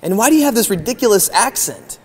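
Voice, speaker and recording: An actor playing a goofy, earnest older brother in a comic skit, with an American accent. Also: male voice, sits high, big pitch swings, fast, slightly rough, exaggerated voice. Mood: puzzled. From Wisconsin, United States.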